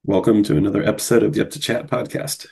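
A man's voice: lowish voice, steady tone, fast talker, vocal fry, dark tone, slightly rough. A man from Cincinnati hosting a solo podcast in a casual, chatty way, sounding groggy from a cold. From Cincinnati, USA. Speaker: podcast host.

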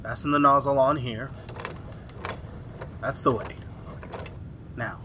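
A man playing an anguished scientist in an old-time radio horror play, with a halting, urgent, melodramatic delivery. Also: medium pitch, fairly even intonation, noisy background, lightly acted. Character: anguished scientist, doctor haunted by his creation.

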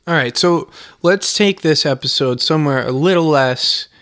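A man's voice: on the high side, moderate pace, fairly bright tone. A young man co-hosting a casual podcast, playful and teasing, often laughing. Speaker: podcast co-host. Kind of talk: casual chat.

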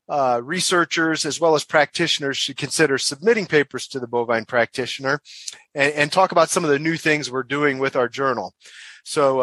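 A man's voice: on the high side, a bit bright, dry recording. A man hosting a professional podcast, introducing guests with many fillers and restarts. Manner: hesitant, frequent uh fillers and repeated words. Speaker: podcast host, association director.

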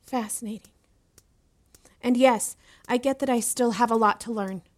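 A woman's voice: a little high, fairly even intonation, moderate pace, lightly acted. An actress playing an eager, deferential young female student in an audio drama, speaking in an earnest, inquisitive way. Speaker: voice actor in a fiction podcast. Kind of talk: acted audio drama dialogue.

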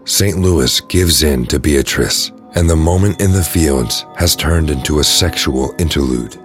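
Very deep-voiced male narrator over music. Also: measured pace. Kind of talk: scripted audio drama narration.

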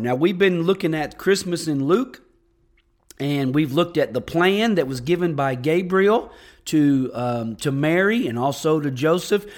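Hoarse, raspy-voiced pastor man. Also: on the high side. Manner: folksy, enthusiastic, many restarts. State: hoarse, lost voice. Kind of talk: podcast sermon.

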